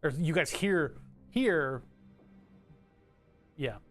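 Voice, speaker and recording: A man's voice: a little high, expressive, drawn-out words, faint music behind. Chatty man with a trebly voice. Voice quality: bright, trebly voice. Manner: rambling, self-interrupting, chatty. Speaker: streamer. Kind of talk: casual stream chat. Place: home streaming room.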